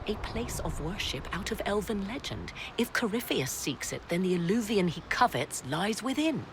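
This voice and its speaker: Enigmatic haughty witchy advisor, fantasy game, formal archaic talk, really swoopy pitch, noisy background. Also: sing-song. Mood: grave. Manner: archaic formal diction, arch and deliberate. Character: haughty, enigmatic sorceress advisor, learned in old legends. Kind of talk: dramatic fantasy game acting. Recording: clear background ambience noise.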